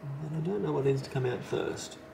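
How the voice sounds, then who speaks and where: A man's voice: medium pitch, fairly quick, vocal fry, noisy background. A man giving casual hands-on repair commentary as a technician, thinking aloud tentatively and correcting himself as he works. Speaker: repair technician, video host. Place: indoors at a repair workbench.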